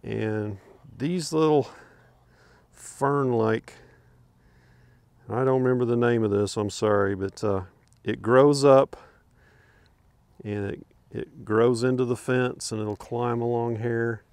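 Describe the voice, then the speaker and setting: An older man wanders through his backyard garden, talking from behind a handheld camera. His voice is gravelly and sits at an ordinary pitch, and it comes across dry and close despite the open air. He rambles easily, with plenty of fillers and quiet chuckles. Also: steady tone, takes it slowly, long pauses, no room sound. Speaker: homesteader showing his garden. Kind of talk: casual garden walkthrough. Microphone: handheld camera, speaking from behind it.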